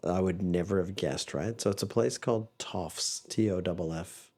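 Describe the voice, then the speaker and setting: Low-voiced man with a Canadian-Aussie accent. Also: moderate pace, dark tone. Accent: Canadian and Australian mix. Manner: chatty, rambling, restarts often. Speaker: podcast guest. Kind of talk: casual interview chat.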